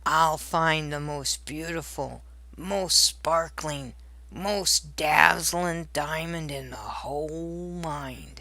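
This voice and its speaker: A woman's voice: deep voice, slow, bright, forceful tone, no echo, heavily acted. A woman voicing a grumpy, proud male dwarf from a storybook, blustering and boastful.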